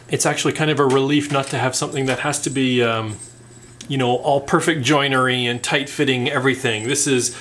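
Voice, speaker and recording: A middle-aged man from Canada hosting a woodworking channel, explaining a small craft project in a relaxed, chatty way with plenty of "uh"s and "um"s, in his home workshop. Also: mid-range voice, a little hiss or hum. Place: home woodworking shop, indoors.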